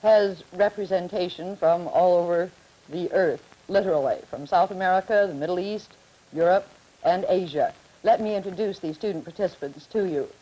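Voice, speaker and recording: Mellow-voiced 1950s TV moderator woman. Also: lowish voice, low-quality recording. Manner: brisk, teasing questions to guests. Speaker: moderator of a televised student forum.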